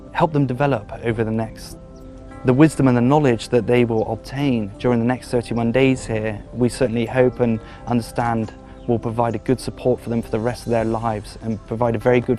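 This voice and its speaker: A man presents to camera outdoors in a formal, measured voice, sometimes circling back over his phrases. His pitch sits in the middle of the male range, and faint music runs quietly beneath him. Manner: jokes and chats cheerfully with children. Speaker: documentary presenter and observer. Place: outdoors at a rural forest monastery.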